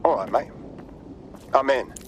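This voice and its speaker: A man's voice: mid-range voice, lively intonation, a little slow, a little hiss or hum, close to an everyday voice. A man playing an earnest, hard-up ordinary Londoner in a video game, with a British accent. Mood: relieved.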